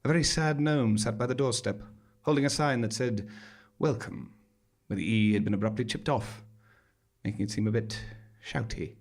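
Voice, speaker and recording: Male monologue performer with gravelly creak, dry wit and dramatic stage storytelling. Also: mid-range voice, fairly quick. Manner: arch, droll, dramatic delivery. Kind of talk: theatrical scripted first-person storytelling. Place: small theatre stage, live performance.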